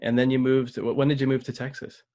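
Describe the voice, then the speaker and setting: A man hosting a podcast interview, friendly and a bit halting, often repeating his words. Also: medium pitch, level tone, dark tone. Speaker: podcast host.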